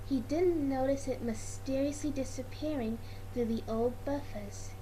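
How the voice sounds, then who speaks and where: A woman reading a children's storybook aloud as narrator, in a slightly halting, amateur way. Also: sits high. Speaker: read-along narrator. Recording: clearly noisy amateur recording.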